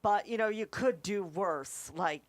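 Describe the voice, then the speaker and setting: A woman's voice: mid-range voice, a little slow, no room sound. A woman giving her opinions as a co-host in a casual review chat.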